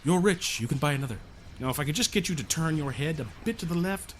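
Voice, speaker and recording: An actor in an audio drama playing a glib, teasing gentleman genius, sardonic and mock-polite in his wordy delivery. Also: fairly high pitch, lively intonation, quick pace, slight background noise, close to an everyday voice. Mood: wry, composed.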